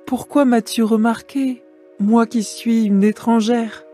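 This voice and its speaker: A woman's voice: fairly high pitch, steady tone, takes it slowly, warm tone, soft background music. A woman playing a humble, grateful young foreign widow in a dramatised scripture reading. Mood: humbly grateful.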